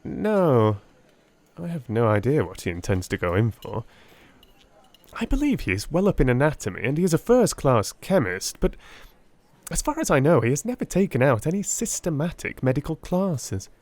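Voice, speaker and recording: A man voicing a hesitant, animated young Victorian gentleman. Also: mid-range voice, normal speed, no room sound, voice pushed up. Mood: hesitant, guarded. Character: hesitant, gossipy young gentleman, a doctor's acquaintance. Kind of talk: dramatised Victorian audiobook dialogue.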